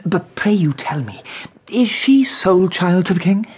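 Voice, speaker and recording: An inquisitive gentleman in classical Shakespearean radio drama. His voice is fairly high and very breathy, with animated rises and falls. He sounds eager and ready to be amazed. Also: measured pace, exaggerated voice. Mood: curious. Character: curious gentleman listener, eager and incredulous questioner.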